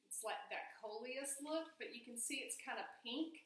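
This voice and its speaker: A woman's voice: fairly high pitch, moderate pace, a bit bright. Chatty woman in an echoey room. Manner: bubbly, chatty, thinks aloud. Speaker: home and garden vlogger. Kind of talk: casual chatty vlog to camera. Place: indoors in a small room, and on a front porch. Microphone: camera or webcam at close range.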